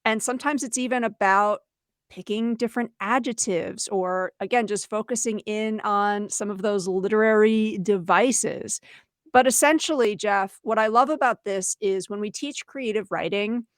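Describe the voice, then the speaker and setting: A woman's voice: mid-range voice, moderate pace, dry recording, studio quality. A woman co-hosting a webinar for teachers, explaining conversationally and voicing imagined quotes as she goes. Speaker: webinar co-host, educator.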